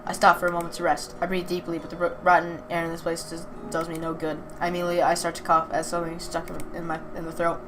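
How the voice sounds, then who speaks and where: A woman's voice: lowish voice, monotone, fast, few pauses, music in the background. A woman hosting a let's play, reading a visual novel aloud with casual asides and stumbling over some words as she goes. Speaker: video host playing a visual novel.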